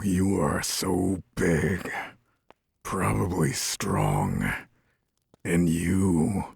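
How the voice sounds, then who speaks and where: An actor playing a sinister, condescending necromancer god in a fantasy audio drama, husky and softly gloating. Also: male voice, low voice, dry recording, studio quality, heavily acted. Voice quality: husky, gravelly.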